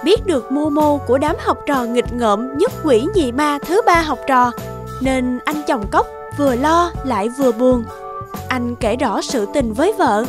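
High-pitched woman narrating a fairy tale. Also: expressive, unhurried. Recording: music under the voice.

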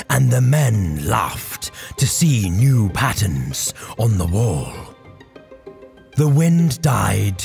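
A man playing a grave, impassioned warrior orator in a theatrical, measured audio drama monologue over music. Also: mid-range voice, creaky, darkish tone, heavily acted. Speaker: voice actor.

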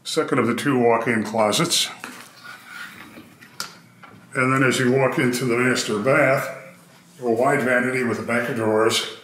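A man narrating a walkthrough of an empty apartment, describing each room in an upbeat real-estate pitch. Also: fairly even intonation, normal speed, pauses often, fairly bright tone, slight background noise. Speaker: apartment tour host. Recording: echoey empty rooms.

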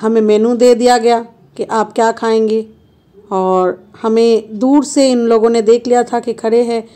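Woman vlogger, playful chatty narration at an unhurried pace; slightly high voice. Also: fairly even intonation, mellow. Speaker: travel vlogger. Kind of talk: casual travel vlog narration. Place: car and roadside stops.

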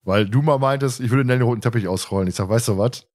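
A man's voice: mid-range voice, fast talker, darkish tone. A man hosting a podcast in a casual, chatty way, often chuckling as he tells stories. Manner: chatty, often chuckles while telling anecdotes. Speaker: podcast host.

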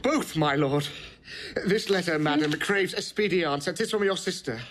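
An actor playing an urgent, deferential messenger bearing grim news in a classical audio drama. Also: male voice, fairly high pitch, normal speed, fairly bright tone, a little hiss or hum, close to an everyday voice. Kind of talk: classical stage-style audio drama acting.

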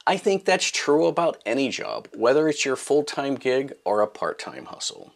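A middle-aged man hosting a maker channel, talking to camera in a scripted explainer, starting phrases high and emphatic and dropping low at their ends, recorded close in his home workshop. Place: home workshop room with purple lighting.

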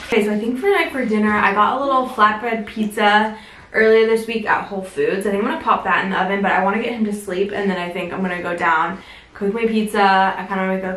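A woman's voice: a bit bright, roomy sound, distant mic, slight background noise, poor audio. A young woman chatting casually to a handheld camera as a vlogger and new mom, gushing and talkative. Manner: gushing, chatty, talks to her baby in a high sing-song. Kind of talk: casual vlog chat. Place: store aisles, then home bathroom.

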